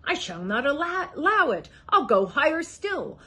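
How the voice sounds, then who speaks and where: A woman's voice: high-pitched, sing-song, moderate pace, pitched above the performer's own voice, exaggerated voice. A woman voicing a pompous, power-hungry turtle king in a storybook, bellowing boasts and orders imperiously. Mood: outraged. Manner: shouting, snorting, imperious, self-admiring.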